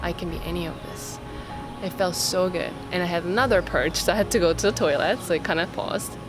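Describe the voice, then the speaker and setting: A woman's voice: fairly low pitch, a little fast. A woman describing her experience in a dreamy, awed way, full of "like" fillers. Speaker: ceremony participant. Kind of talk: personal testimony. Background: loud music.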